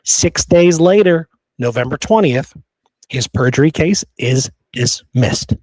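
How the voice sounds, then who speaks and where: A man's voice: mid-range voice, expressive, slow pace, darkish tone, dry recording, rough recording. Earnest man in dry, close sound. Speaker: podcast host. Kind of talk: conversational history podcast talk.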